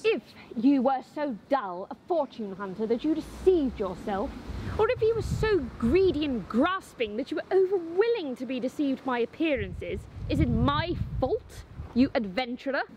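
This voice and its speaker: An actress playing a proud, hot-tempered Victorian wife in a melodramatic, formal stage style. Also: female voice, high-pitched, expressive, moderate pace, background noise. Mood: haughty, offended. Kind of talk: theatrical period drama acting.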